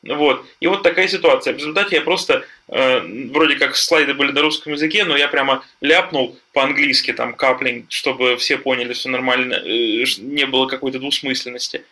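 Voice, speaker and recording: A man chatting casually on a panel, talking in a halting way with lots of fillers and restarts. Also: medium pitch, sharp tone. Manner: hesitant, many fillers and restarts. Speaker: podcast guest, software developer.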